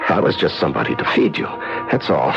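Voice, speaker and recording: An actor playing a weak, despairing starving prisoner in a radio drama, rambling and halting, his frail voice moving between tender murmurs and anguished cries. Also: very deep voice, very animated, gravelly, heavily acted. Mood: bitter, wistful. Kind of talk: old-time radio drama acting. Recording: dull radio recording, loud music.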